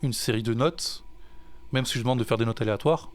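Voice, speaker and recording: A man's voice: medium pitch, brisk. A French man, a music teacher and songwriter, chatting in a casual podcast and hesitating with many fillers and restarts.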